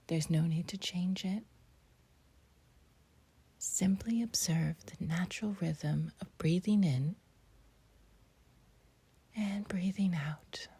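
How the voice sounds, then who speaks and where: A woman leading a scripted guided meditation in a gentle, breathy near-whisper, speaking in short phrases with long pauses. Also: fairly low pitch.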